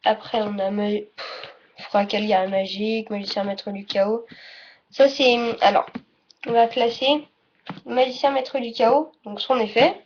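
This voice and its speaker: A woman giving a casual, unscripted tutorial as presenter, halting and often correcting herself or trailing off mid-sentence. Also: a little high, fairly even intonation. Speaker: presenter of a card game tutorial. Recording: dull lo-fi audio, faint noise.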